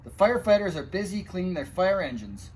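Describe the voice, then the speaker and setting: A man's voice: high voice, sing-song, brisk, a little mellow, a little hiss or hum, poor audio. A man voicing a polite but firm storybook fire chief in an emphatic, instructive manner.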